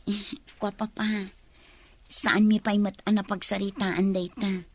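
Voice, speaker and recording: A woman's voice: mid-range voice, level tone, measured pace, a little mellow, no room sound. A woman playing an earnest young daughter who pleads with her family, in audio drama dialogue. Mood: earnest, hesitant.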